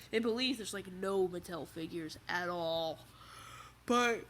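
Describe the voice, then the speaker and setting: A teenage boy with a stuffed nose and slightly raspy voice, rambling in casual chat as a toy collector video host. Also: high-pitched, pushed and bright, a little hiss or hum. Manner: rambling, restarts often, chatty. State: stuffy nose, under the weather.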